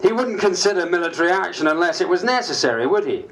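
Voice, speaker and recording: A man's voice: sits high, expressive, quick pace, a bit bright. A man playing a blunt, wry party whip who applies pressure, in a staged reading of political diaries. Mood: pressing, wry.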